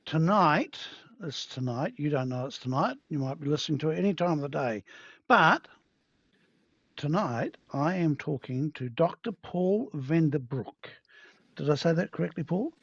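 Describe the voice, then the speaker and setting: A man's voice: fairly high pitch, expressive, normal speed, slightly rough, no echo. Chatty self-deprecating male podcast host. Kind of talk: podcast interview. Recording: dull, dry, lo-fi sound.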